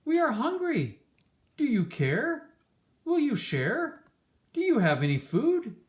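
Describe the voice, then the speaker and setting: Casting: woman as hungry folktale traveler, a showy talker with drawn-out delivery and very animated intonation. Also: sits high, voice pushed up, full character voice. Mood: pleading. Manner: loud, slow, drawn-out proclaiming. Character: two hungry, hearty, showman-like travelers selling magical soup.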